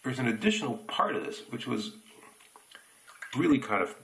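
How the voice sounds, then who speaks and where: An American man telling a childhood story in a relaxed, reflective way while shaving, recorded on a phone camera in a bathroom. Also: mid-range voice, low-quality recording. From United States. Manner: reflective, unhurried anecdotes with pauses. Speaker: shaving reviewer.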